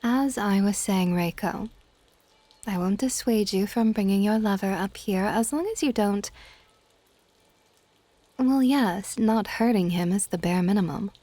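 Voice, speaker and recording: A woman playing an older dragon goddess in an ASMR roleplay, soft-spoken and formal, stately and gently exasperated. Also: mid-range voice, unhurried, pauses often, no room sound, natural voice. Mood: amused, dismissive. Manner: soft-spoken, breathy asides, formal wording.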